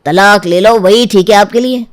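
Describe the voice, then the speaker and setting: Meddling male lawyer performed by a woman, smug, smooth-talking insinuation for an audio story. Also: medium pitch, moderate pace, natural voice. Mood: disapproving. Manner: reassuring, insinuating. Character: smug, meddling male divorce lawyer egging on his client.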